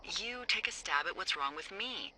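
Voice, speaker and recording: An actress playing a wry, bossy, foul-mouthed fire lookout supervisor in a video game, teasing and casual over a handheld radio. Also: female voice, on the low side, lively intonation, normal speed, a crowd in the background. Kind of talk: naturalistic video game dialogue.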